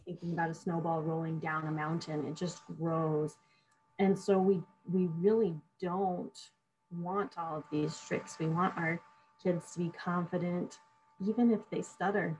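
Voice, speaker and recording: A woman with a fairly low voice talks easily about her work as a speech therapist, walking through examples of how she talks with her clients. A faint hum of noise sits behind her. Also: steady tone, measured pace, darkish tone, rough recording. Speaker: speech-language pathologist. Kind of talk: casual professional conversation.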